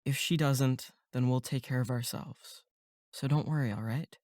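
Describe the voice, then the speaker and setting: Laid-back young male counselor, voiced by a woman, audio drama. Low-pitched, dry humor, protective, close dry recording. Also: normal speed, a little mellow, dry recording. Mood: reassuring. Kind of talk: horror audio drama acting.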